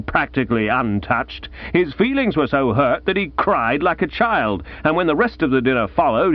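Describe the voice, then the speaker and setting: A man's voice: mid-range voice, lo-fi sound, lightly acted. A man voicing an exasperated middle-aged aunt who scolds her nephew theatrically. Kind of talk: audiobook character voice.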